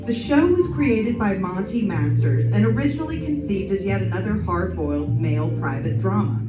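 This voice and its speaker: A woman's voice: mid-range voice, expressive, normal speed. Female host, echoey and away from the mic. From United States. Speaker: host and radio player. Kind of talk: scripted introduction to a performance. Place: live performance room. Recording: echoey, distant stage mic, loud music.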